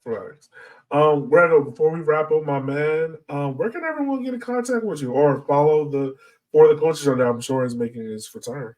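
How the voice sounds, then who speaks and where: A man's voice: a little high, fairly quick, darkish tone, recorded from a distance, poor audio. An American man hosting a podcast in a casual, informal way, speaking directly to his listeners from a little way off the mic. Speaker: podcast host.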